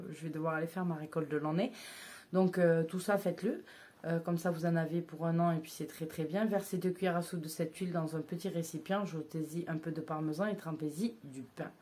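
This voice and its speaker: A woman's voice: on the low side, warm tone. A woman in her thirties chatting casually to camera as a vlogger, hesitant, with many filler sounds and restarts. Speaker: vlogger reviewing books.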